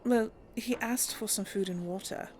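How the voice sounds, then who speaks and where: A woman's voice: mid-range voice, moderate pace, a little mellow, a little hiss or hum. A woman playing a video game, chatting casually and laughing often, sometimes speaking as her character. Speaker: game streamer. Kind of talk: casual let's-play commentary with in-character lines.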